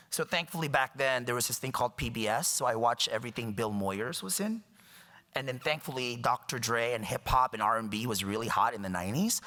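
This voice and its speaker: Thirties man from the Philippines as a guest speaker, wry and emphatic, bright voice carrying through a reverberant hall. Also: mid-range voice. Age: 30s. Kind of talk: public talk. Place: lecture hall.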